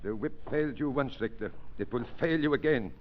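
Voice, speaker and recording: An actor playing a principled, defiant psychiatrist with formal, deliberate speech, in 1930s radio drama style. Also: male voice, mid-range voice, natural voice. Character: principled, defiant male psychiatrist held captive. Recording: dull, noisy 1930s radio recording.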